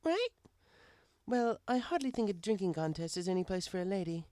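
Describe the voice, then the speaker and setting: A woman voicing young female cartoon ponies in an expressive story reading. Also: medium pitch, a little fast, no echo, clean studio sound, natural voice. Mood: prim, huffy. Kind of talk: animated character dialogue in a fan fiction reading.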